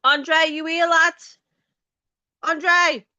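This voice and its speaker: A woman with a Liverpool accent hosts a casual video chat. Her voice is high-pitched and bright, recorded very dry. She speaks informally and bluntly, straight to individual viewers, with swearing thrown in. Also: steady tone, very slow, no room sound, highs cut off. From Liverpool, England. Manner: informal, sweary, talks directly to viewers by name. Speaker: video channel host.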